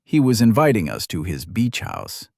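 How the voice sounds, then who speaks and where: A man plays a youthful fantasy audiobook hero. He is casual and frank yet polite, with lightly teasing phrasing and a very animated pitch. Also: normal speed, vocal fry, breathy edge, dry recording, clean studio sound, heavily acted.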